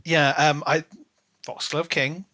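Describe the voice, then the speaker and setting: A man's voice: fairly high pitch, little pitch movement, takes it slowly, sharp tone, no echo. A man co-hosting a book podcast, talking in a casual, conversational style. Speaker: podcast co-host.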